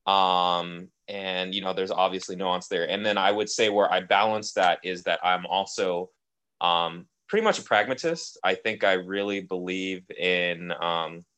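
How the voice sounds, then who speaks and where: A young man co-hosting a podcast, speaking in a measured, articulate way with frequent ums. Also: deep voice, fairly even intonation, fairly bright tone, clean tone. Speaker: podcast co-host, policy advocate. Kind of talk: casual podcast conversation.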